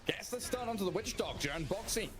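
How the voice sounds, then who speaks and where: Slightly high-voiced male esports commentator. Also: expressive, fast, noisy background. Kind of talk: live game commentary.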